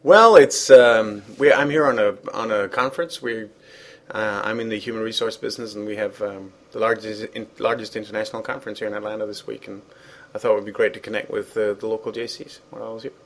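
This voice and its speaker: A man's voice: on the low side, steady tone, fast talker. A man whose first language is Danish, from Denmark, speaking English as an interview guest, hesitant with many fillers and restarts. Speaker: guest, former international organization president. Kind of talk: conversational interview.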